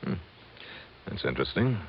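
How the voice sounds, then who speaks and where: An American actor playing a wry, easygoing insurance investigator in an old-time radio detective drama, with a sardonic, conversational manner. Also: male voice, moderate pace, natural voice. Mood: reluctant, wry. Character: wry, breezy freelance insurance investigator, hard-boiled but easygoing. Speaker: narrator and lead actor of a detective serial. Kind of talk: old-time radio detective acting and first-person narration. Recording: muffled vintage radio recording with music.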